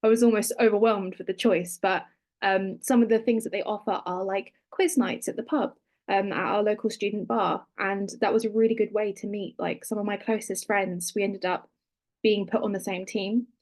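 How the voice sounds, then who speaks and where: Young woman student, casual advice, mid pitch. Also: fairly even intonation, moderate pace, a little mellow. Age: young adult. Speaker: student ambassador. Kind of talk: webinar panel answer.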